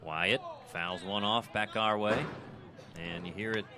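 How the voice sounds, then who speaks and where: An American man doing live radio play-by-play of a baseball game, in clipped descriptive phrases that turn conversational between pitches. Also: fairly even intonation, measured pace, background noise. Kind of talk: live sports commentary.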